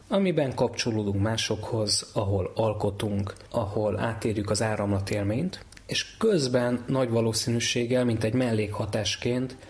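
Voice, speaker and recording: A man giving a measured, structured explanatory talk in the manner of a teaching expert. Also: lowish voice.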